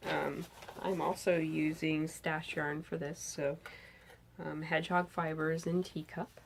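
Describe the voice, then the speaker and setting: Low-voiced, slightly hoarse woman chatting. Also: level tone, unhurried, slight background noise. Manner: chatty, enthusiastic, lots of "um"s. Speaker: knitting podcast host. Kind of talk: casual show-and-tell chat. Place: bedroom with pillows, indoors.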